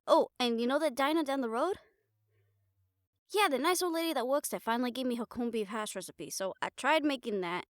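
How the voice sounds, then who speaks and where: A woman playing a caring, blunt, teasing young girlfriend in an improvised audio roleplay, chatting casually and haltingly to the listener. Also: a little high, dry recording, studio quality, lightly acted. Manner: casual, halting, playful swearing, affectionate teasing.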